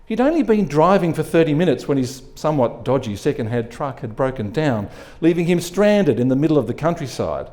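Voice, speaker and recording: A man reading a short story aloud as an expressive narrator at a live event. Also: fairly high pitch, a little fast. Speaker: story reader.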